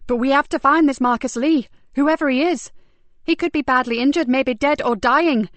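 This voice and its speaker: A woman reading a forceful female detective inspector in an audiobook, speaking loudly and urgently. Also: on the high side, a little fast, no echo, close to an everyday voice. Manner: sharp and urgent.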